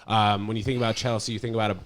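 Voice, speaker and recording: A man's voice: on the low side, flat delivery, very fast, slight background noise. An American man hosting a casual interview, asking questions in loose slang full of "bro" and "dude". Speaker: podcast host, interviewer.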